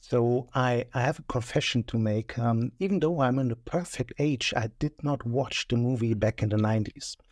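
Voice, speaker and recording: A man's voice: mid-range voice, normal speed, few pauses, no room sound, clean studio sound. A man in his forties from Germany with a German accent, chatting casually and joking about himself as a podcast guest. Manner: chatty, self-deprecating, joking. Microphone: studio mic.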